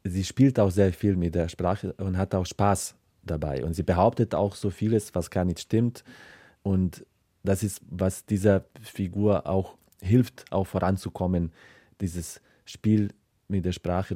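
A writer gives a reflective interview in a deep, very mellow male voice. He gropes for words and doubles back on them, recorded dry and close. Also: measured pace, dry recording. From former Yugoslavia. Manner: hesitant, searching for words, repeats words.

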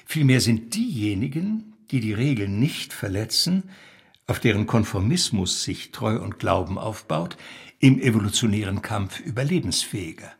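A low-pitched male narrator delivers literary text at an unhurried pace. His voice is gravelly with fry and has a husky edge, and his manner is measured and essayistic. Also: expressive. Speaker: narrator reading literary prose.

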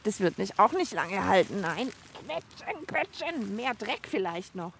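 A woman's voice: mid-range voice, very animated, unhurried, vocal fry, a bit bright, a little hiss or hum. A woman hosting a children's radio show outdoors in a park, chatting casually in a cheerful, wry way and thinking aloud. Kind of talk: casual on-location radio chat.

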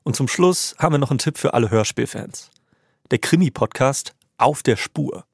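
A man's voice: medium pitch, animated, normal speed, creaky, breathy edge, dry recording, clean studio sound. A man with a standard German accent reading scripted narration as a podcast co-host. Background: music bed.

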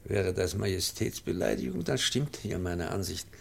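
Very deep, gravelly, solemn professor. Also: male voice, animated, normal speed, heavily acted. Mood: bitter, indignant. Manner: solemn, bitter, emphatic. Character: grieving, indignant male professor, devoted old teacher. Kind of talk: radio drama acting.

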